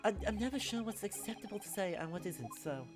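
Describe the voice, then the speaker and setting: A woman's voice: medium pitch, animated, quick pace, over music, lightly acted. A woman voicing a polite, hesitant young male law student in a game, earnest and apologetic.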